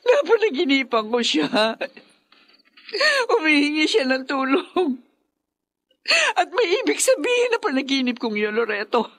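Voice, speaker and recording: A woman's voice: sits high, very animated, a little slow, heavily acted. An actress playing a grieving mother haunted by dreams of her dead daughter, sobbing and distraught. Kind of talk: radio drama acting.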